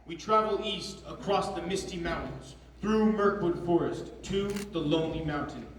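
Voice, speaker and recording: A man's voice: fairly high pitch, moderate pace, over a noisy crowd, natural voice. A man playing a proud dwarf leader who rallies his company in a declamatory readers theatre style. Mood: solemn commanding. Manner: declamatory, commanding. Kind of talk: readers theatre stage performance. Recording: noisy distant mic in echoey room.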